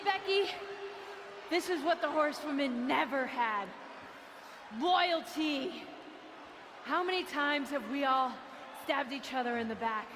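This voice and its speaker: A defiant wrestling villainess, gives a bitter game promo. She is high-pitched and slow, over a clearly audible crowd. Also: noisy background. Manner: dramatic, deliberate.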